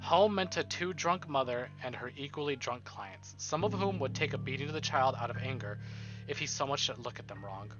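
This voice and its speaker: A man streaming a visual novel, reading text aloud and commenting with free swearing in an animated manner. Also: medium pitch, fairly quick, highs cut off. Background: game music.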